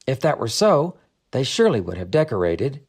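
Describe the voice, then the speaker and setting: A man narrates a storybook audiobook in a measured storytelling manner. His mid-range voice moves with very lively, expressive intonation. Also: mid-range voice, husky.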